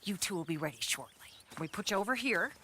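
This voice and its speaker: An actress playing a stern, bossy camp matron in a western video game, brisk and scolding. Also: female voice, medium pitch, animated, bright, forceful tone, slight background noise. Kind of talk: video game cutscene acting.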